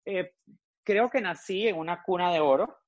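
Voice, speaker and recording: A man's voice: high-pitched, moderate pace, dry recording, highs cut off. A man in his forties from Costa Rica, a guest frankly telling his personal story, often trailing off and restarting. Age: 40s.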